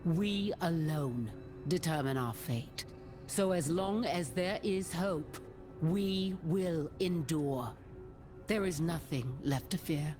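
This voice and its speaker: Resolute weary warrior woman, very low pitch, slow solemn delivery, video game drama, music behind. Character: resolute, weary heroic woman warrior, stern yet compassionate.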